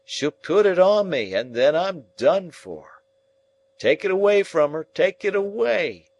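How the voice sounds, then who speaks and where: A man voicing a dying man raving in terror at a ghost, shrill, frantic and pleading. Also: animated, a little slow, lo-fi sound, close to an everyday voice. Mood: terrified screaming.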